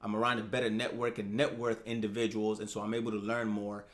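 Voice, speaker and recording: A man in his thirties hosting a personal finance channel, talking straight to the viewer in a casual, upbeat way and checking in with "right?" and "okay?". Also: fast. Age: 30s. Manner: animated, rises in pitch and loudness for emphasis. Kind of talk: casual explainer talking to camera.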